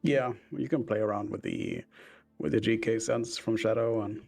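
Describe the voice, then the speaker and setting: A man's voice: on the low side, moderate pace, creaky, darkish tone, soft background music. A young man with a Norwegian accent co-casting an esports match, hesitant, with dry humor. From Norway. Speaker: esports co-caster. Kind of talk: casual esports commentary.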